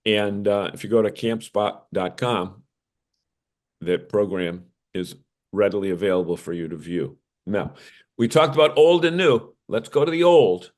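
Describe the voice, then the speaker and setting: An American man with a slightly low voice chats as co-host of a news show. His delivery is casual and conversational, like someone discussing stories with a colleague. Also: animated, a little slow, a little mellow.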